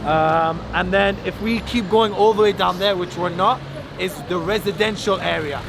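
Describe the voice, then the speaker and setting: Higher-pitched British man guiding through noisy streets. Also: moderate pace, gravelly. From United Kingdom. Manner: chatty, informal, lists and explains. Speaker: local guide. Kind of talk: casual guided-tour chat. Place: outdoors on city streets and in vehicles. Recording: noisy outdoor street and traffic.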